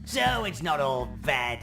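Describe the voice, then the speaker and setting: A gleeful, fawning old goblin henchman from a fantasy video game. His delivery is theatrical and sardonic, swinging wildly up and down in pitch, with loud music playing behind him. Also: gravelly, exaggerated voice. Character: gleeful, sycophantic old goblin minion adviser. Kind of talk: video game character acting.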